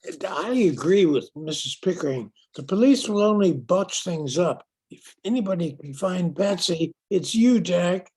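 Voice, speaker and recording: An actor playing a gruff, rich old man with a husky voice in a 1940s radio play. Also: mid-range voice, lively intonation, a little slow, hardly any pauses, darkish tone. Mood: indignant. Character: gruff, rich old male tightwad industrialist.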